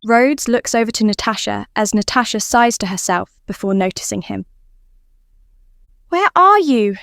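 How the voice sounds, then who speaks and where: A woman's voice: mid-range voice, fairly even intonation, moderate pace, creaky, no echo, clean studio sound. A woman narrating scripted fan fiction as a storyteller.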